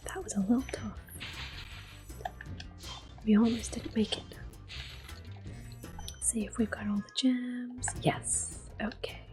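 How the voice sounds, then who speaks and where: A woman giving gentle, unhurried ASMR gameplay commentary in a soft, breathy whisper as she plays. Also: on the high side, fairly even intonation. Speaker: gameplay streamer. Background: video game music.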